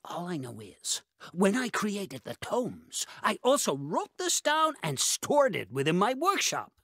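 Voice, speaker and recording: A woman voicing a big, wistful, theatrical monster sorceress with grand melodrama in an animated game. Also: medium pitch, unhurried, no echo, heavily acted. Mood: breezy. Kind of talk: animated game cutscene acting.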